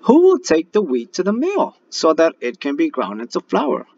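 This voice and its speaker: A man's voice: on the high side, wildly swooping pitch, moderate pace, a little mellow, muffled recording, pitched above the performer's own voice, full character voice. A man voicing a self-reliant female hen from a storybook, in an emphatic raised voice. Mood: asking.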